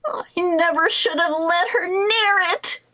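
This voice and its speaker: A woman playing an excitable, scheming housewife in a theatrical old-time radio comedy style. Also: very high voice, slow, full character voice. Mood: panicked. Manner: theatrical, exclaiming. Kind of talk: 1940s radio comedy acting.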